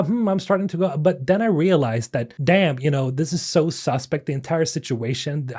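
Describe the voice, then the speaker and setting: Youngish guy doing a gaming news video, kinda high voice, worn out and a bit raspy, lots of "you know" and disbelief. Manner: animated, incredulous, with frequent fillers and laughs. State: voice getting raspy and tired. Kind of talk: casual commentary video.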